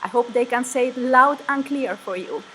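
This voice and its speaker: A woman's voice: a little high, normal speed, bright, forceful tone, slight background noise, low-quality recording. A woman from Spain with a Spanish accent, presenting a live online session for a music ensemble. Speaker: ensemble member presenting a livestream. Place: room at a music conservatory.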